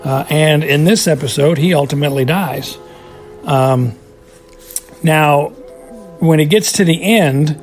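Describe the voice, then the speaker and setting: A man's voice: a little high, measured pace, pauses often, faint music behind. A man giving his casual recorded thoughts on an episode for a podcast, rambling with many fillers and restarts. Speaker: podcast contributor.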